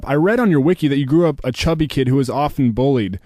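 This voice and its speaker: A man's voice: medium pitch, lively intonation, fast talker, mellow, no echo, clean studio sound. A man from Morocco co-hosting a radio show, joking and teasing. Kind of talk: casual interview.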